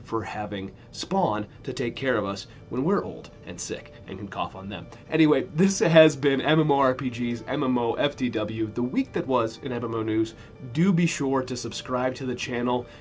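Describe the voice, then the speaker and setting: A man in his thirties hosting a weekly gaming news show in a relaxed, rambling way, correcting himself as he goes while still sniffly from a cold. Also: medium pitch. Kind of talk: casual news roundup.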